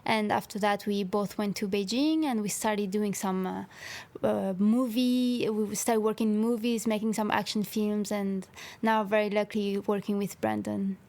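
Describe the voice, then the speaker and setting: A woman from France and Mexico, a director of photography, answering interview questions in a casual, halting way in a hotel room. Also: mid-range voice, steady tone, a little mellow.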